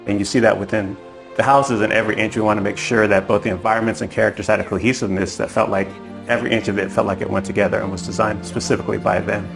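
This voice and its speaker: Deep-voiced man, quick interview talk. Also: steady tone, gravelly, over music. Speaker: lead character concept artist. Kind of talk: documentary interview.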